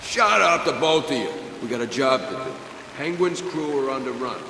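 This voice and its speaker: Callous game thug in charge, medium pitch, echoing, noisy tunnel sound. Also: male voice, very animated, moderate pace. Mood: bossy, menacing. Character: bossy, callous male gang henchman. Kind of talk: video game voice acting. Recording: echoey tunnel, noisy background.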